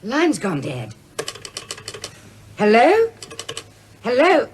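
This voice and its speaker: Radio adventure heroine: RP, brave and composed, animated, on a crackly old recording. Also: female voice, a little high, drawn-out words, exaggerated voice. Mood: urgent. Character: plucky, composed young female assistant to an agent. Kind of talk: 1940s-style radio serial acting.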